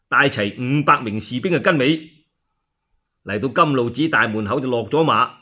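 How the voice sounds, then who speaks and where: A man telling a story for radio in colloquial Cantonese, dramatic and animated, with chatty asides to the listeners. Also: mid-range voice, slightly rough. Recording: dull lo-fi radio recording.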